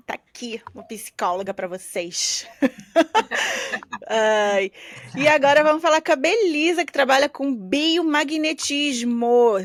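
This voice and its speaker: A woman hosts a casual podcast in a fairly high voice, friendly and chatty as she welcomes her guests. The sound is dry and close to the mic. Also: no echo. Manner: warm, affectionate and chatty with guests. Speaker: podcast host and coach.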